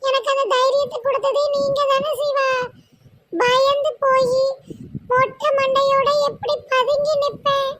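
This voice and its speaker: A gentle young wife reassures her husband in soft, earnest tones, as a performer voices her. The voice is extremely high and bright, with a quiet, steady resolve. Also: measured pace. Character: young wife, gentle but newly confident officer.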